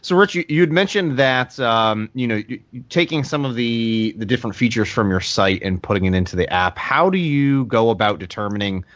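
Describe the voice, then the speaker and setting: A man's voice: mid-range voice, expressive, fairly quick, hardly any pauses, fairly bright tone, no room sound. A man hosting a podcast, asking questions in a casual, conversational style. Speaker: podcast host interviewing a guest. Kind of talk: casual interview chat.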